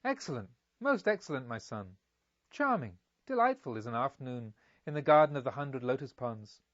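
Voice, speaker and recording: A man's voice: medium pitch, very animated, moderate pace, a bit bright, no echo, lo-fi sound. A man voicing a genial, pompous elderly merchant father in an audiobook, with effusive, leisurely speech. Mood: genial, probing. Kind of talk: audiobook character dialogue.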